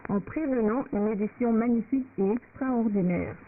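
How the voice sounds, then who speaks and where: A woman's voice: a little high, fairly quick, narrow, tinny audio. A woman reading scripted news as a newsreader over a noisy shortwave radio.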